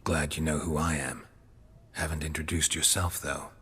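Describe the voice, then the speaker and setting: An actor with an American accent playing a stoic, gruff monster hunter, terse and deadpan, with a raspy voice, in a video game. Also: male voice, rumbling low, normal speed, long reverb tail, full character voice. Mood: dry, wary. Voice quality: very gravelly, husky rasp.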